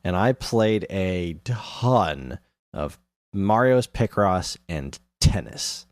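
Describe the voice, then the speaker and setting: An American man with a deep voice chats as a podcast co-host. His talk is informal, slangy and enthusiastic, recorded dry with no room sound. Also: animated, extremely slow, dry recording. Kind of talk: casual chat.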